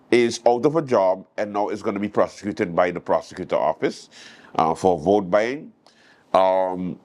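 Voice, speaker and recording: A man with a Caribbean accent hosting a late-night show, giving casual news commentary and acting out quotes in a storytelling way. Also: mid-range voice.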